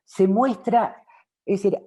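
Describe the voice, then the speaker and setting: A woman's voice: mid-range voice, steady tone, slow pace, warm tone. A woman from Uruguay with a Rioplatense Spanish accent, a film director answering interview questions in a reflective way, with many fillers and restarts.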